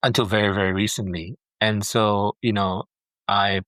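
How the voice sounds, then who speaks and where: Foster dad guest from Singapore, middle-aged, earnest, repeats himself, lower voice, dry call audio. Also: male voice, fairly even intonation, moderate pace, a bit bright, dry recording. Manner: earnest, repeats words while thinking. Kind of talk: heartfelt interview over a call. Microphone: video call.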